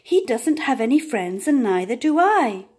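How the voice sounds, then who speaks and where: A woman voicing an earnest, childlike young beaver in a children's storybook, with drawn-out, exclaiming delivery. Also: on the high side, very animated, a little mellow, breathy edge. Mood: plaintive. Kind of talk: children's storybook read-aloud.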